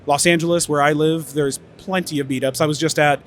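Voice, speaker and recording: A man's voice: a little high, steady tone, brisk, a little hiss or hum. A man presenting at an audio trade expo in a casual conference-talk manner, voice a bit tired from many interviews, with lots of fillers and restarts. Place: expo stage.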